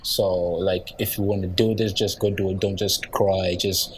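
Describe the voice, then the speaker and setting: Low-voiced young man, light Nigerian accent. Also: little pitch movement, normal speed, a little hiss or hum. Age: young adult. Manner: rambling, heavy on "like" and "yeah". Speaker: guest. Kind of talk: casual conversation.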